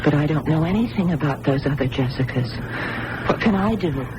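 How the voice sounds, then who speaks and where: An anxious, hesitant young-sounding radio heroine. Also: female voice, low-pitched, expressive, a little fast, dull audio. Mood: puzzled. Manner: hesitant, questioning. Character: anxious woman with multiple personalities, Jessica. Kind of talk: radio drama acting. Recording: noisy old radio broadcast recording.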